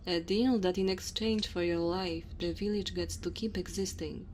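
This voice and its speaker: A woman's voice: on the low side, steady tone, moderate pace, darkish tone, a little hiss or hum, lightly acted. A woman voicing a dominant, teasing immortal dragon woman in an ASMR roleplay, haughty and speaking straight to the listener. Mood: stern, condescending. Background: cave ambience and fire sound effects.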